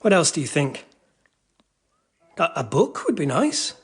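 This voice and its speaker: Casting: gentle genteel English boy, coaxing, husky, lively intonation, period radio drama, faint music behind. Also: on the high side, measured pace, full character voice. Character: kind, gentle, coaxing boy cousin of good family.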